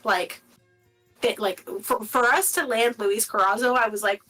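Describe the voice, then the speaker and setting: A woman's voice: a little high, measured pace, sharp tone, soft background music, rough recording. A woman from Latin America, a guest in a casual interview, talking candidly and reflectively with many filler words.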